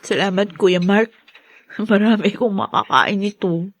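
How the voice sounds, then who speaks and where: A woman's voice: medium pitch, measured pace. A woman playing a long-suffering young wife and mother in a Tagalog radio drama, pleading and emotional. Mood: subdued.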